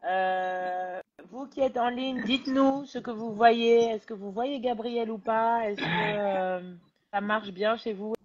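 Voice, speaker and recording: Congested woman hosting a relaxed live interview. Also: a little high, slow pace, a crowd in the background. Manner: relaxed, chatty, hesitant with many fillers. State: congested from a cold, nasal. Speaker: interview host. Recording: lo-fi live-stream audio.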